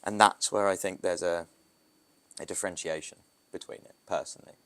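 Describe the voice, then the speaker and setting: British man, very low voice, casual rambling podcast guest, photographer. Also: fairly even intonation, fairly quick, a bit bright. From Britain. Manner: loose, rambling, with restarts and asides. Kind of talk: casual interview talk.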